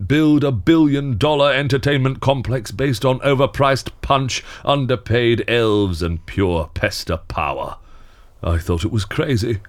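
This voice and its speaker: An actor playing a glum, world-weary theme park Santa in an audio drama, grumbling sardonically and reluctantly. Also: male voice, medium pitch, unhurried, close to an everyday voice. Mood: bitter, resigned. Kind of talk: scripted audio drama acting.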